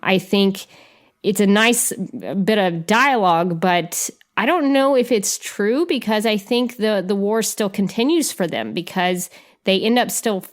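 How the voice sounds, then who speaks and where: A woman's voice: medium pitch, unhurried. A woman co-hosting a podcast, discussing film casually and somewhat hesitantly as she thinks aloud. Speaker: podcast co-host.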